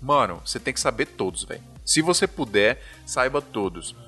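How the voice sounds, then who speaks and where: A man's voice: mid-range voice, lively intonation, normal speed, pushed and bright, breathy edge, soft background music. A man with a Brazilian accent hosting a podcast in a casual, slangy, jokey chat. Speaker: podcast host.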